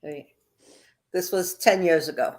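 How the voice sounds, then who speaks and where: A middle-aged woman hosting a casual chat over a video call, easygoing and quick to laugh. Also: fairly low pitch, darkish tone.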